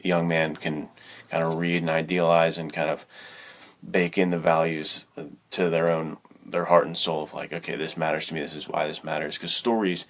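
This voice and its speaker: A man's voice: a bass voice, little pitch movement, normal speed, fairly bright tone. A man co-hosting a podcast, speaking casually in an earnest, reflective way. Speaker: podcast co-host and fiction writer.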